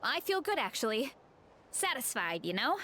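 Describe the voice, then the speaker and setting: A woman's voice: fairly high pitch, very animated, normal speed, bright, forceful tone, a little hiss or hum. A woman playing a modest, friendly young woman who is a champion big eater, in a game cutscene.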